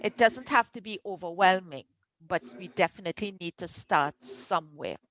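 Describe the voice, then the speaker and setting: A woman's voice: expressive, normal speed, low-quality recording. A Trinidadian woman hosting an online health workshop over a video call, encouraging and persuasive, with frequent ums. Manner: encouraging, warm sales pitch with many ums. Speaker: workshop host, health coach.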